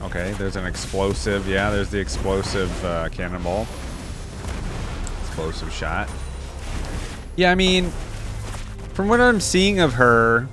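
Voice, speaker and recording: A man's voice: lowish voice, lively intonation, unhurried, long pauses, over music. A man doing casual, chatty reaction commentary, reading aloud and commenting informally to his viewers.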